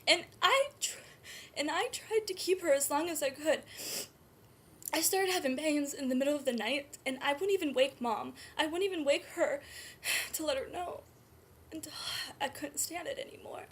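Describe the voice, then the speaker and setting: A woman performing a dramatic stage monologue as a grief-stricken, guilt-ridden nineteen-year-old mother, speaking haltingly and often in a breathy hush. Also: on the high side. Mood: anguished, tearful. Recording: noisy amateur room recording.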